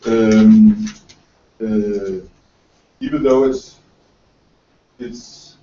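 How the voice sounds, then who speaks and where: Danish-born journalist in his 50s, halting lecture delivery, picked up from afar in a roomy room. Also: lowish voice, dark tone. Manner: halting, many fillers and repeated words. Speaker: journalist and author giving a lecture. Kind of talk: lecture talk reading from notes. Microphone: far camera. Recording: roomy, distant, dull camera audio.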